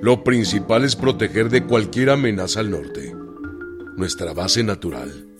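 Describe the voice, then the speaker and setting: A man's voice: fairly low pitch, unhurried, over music, close to an everyday voice. A man acting a blunt, decisive Mexican revolutionary general in a radio drama, with a curt, authoritative delivery. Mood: firm, commanding.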